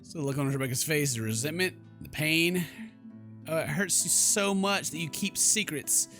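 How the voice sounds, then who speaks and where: A man's voice: on the high side, moderate pace, vocal fry, bright, forceful tone, faint music behind. A man giving casual, reactive gameplay commentary in a mocking, jokey manner. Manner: mocking, jokey, mimics characters. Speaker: gaming video host.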